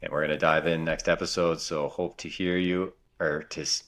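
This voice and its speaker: Super deep voiced guy cohosting a dad podcast, loose and rambly. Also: moderate pace. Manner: relaxed, rambling with restarts. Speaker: podcast co-host. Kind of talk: casual podcast chat.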